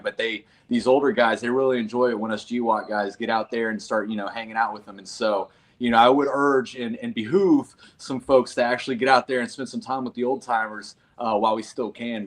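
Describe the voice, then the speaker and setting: A man in his thirties from North Carolina, a combat veteran talking casually and earnestly as an interview guest. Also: mid-range voice. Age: 30s. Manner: earnest, folksy, calls listeners man and brother.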